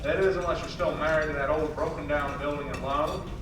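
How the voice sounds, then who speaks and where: High-voiced brash pal played by a young man, echoey hall. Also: steady tone, brisk, close to an everyday voice. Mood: confident pitching. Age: teenager or young adult. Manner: hearty, teasing. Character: brash, jovial businessman pal Sam. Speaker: student actor. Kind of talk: old-fashioned radio play acting. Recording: echoey hall from far off, noisy.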